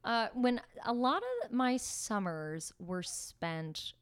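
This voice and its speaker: A woman who grew up in Staten Island and Pennsylvania, co-hosting a casual chat podcast and telling animated, playful stories. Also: medium pitch, slow pace, clear, resonant tone, no room sound. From Staten Island, New York, then Pennsylvania. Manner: animated storytelling with playful bits. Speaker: podcast co-host.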